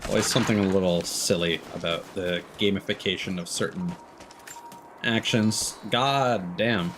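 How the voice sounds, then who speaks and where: A man's voice: low-pitched, measured pace, background noise. A man streaming a game, giving casual, offhand commentary as he thinks aloud. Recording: game music behind the voice.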